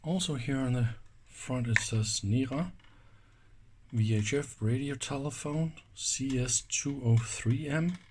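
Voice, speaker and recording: A man giving casual, hands-on teardown commentary as a video host, informal and enthusiastic, exclaiming and thinking aloud, with a slightly husky voice. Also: medium pitch, slow, darkish tone, a little hiss or hum.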